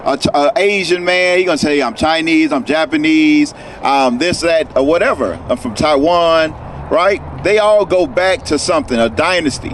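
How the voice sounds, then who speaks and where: High-voiced man teaching on the street. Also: moderate pace. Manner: questioning, tags lines with "right?". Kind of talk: outdoor street preaching. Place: outdoors on a city street. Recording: noisy street with traffic.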